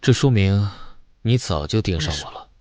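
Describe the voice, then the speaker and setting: Casting: male actor as cold battle-hardened warrior, low-pitched, dynamic intonation, interrogator's measured menace, animated drama with music underneath. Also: dry recording, close to an everyday voice. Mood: accusing, intense. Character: stern powerful male fighter interrogating, a battle veteran. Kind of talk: animated web drama dubbing.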